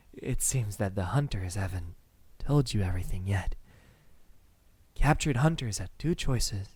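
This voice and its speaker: A man voicing a possessive, tender, gentlemanly centuries-old vampire in an ASMR roleplay, speaking softly and intimately with sighs and pauses. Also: medium pitch, dry recording. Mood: reflective, grave.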